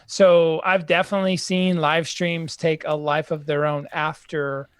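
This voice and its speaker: A man's voice: a little high, level tone, measured pace, smooth, no room sound. A man co-hosting a live-stream Q&A, speaking hesitantly with many fillers and the odd joke. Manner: hesitant, filler-heavy, joking. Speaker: co-host.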